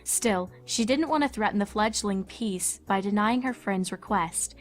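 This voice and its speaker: A woman narrator reads a scripted story at a medium pitch. Soft music sits faintly behind the narration.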